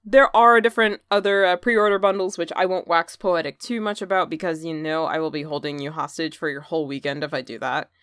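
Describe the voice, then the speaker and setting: A woman hosting a scripted metal news podcast, excitable and jokey, cursing now and then and breaking into loud bursts of enthusiasm. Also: sits low, a little fast, hardly any pauses, smooth, no echo, clean studio sound. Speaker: podcast host.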